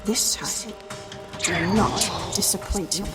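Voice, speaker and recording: An actress playing a cold, imperious, manipulative woman mentor in an audio fantasy drama, speaking deliberately. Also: medium pitch, over loud music, close to an everyday voice. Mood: commanding.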